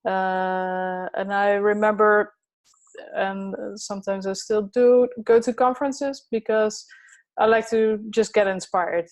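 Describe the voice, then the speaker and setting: Woman from the Netherlands, middle-aged, podcast co-host, hesitant, lots of pauses. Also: medium pitch. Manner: hesitant, many fillers and pauses. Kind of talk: casual chat.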